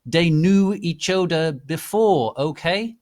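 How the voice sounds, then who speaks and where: A man's voice: a little high, slow pace, a little mellow, voice pushed up. A man voicing a defensive, petulant teenage girl author reading out notes to readers, indignant and emphatic.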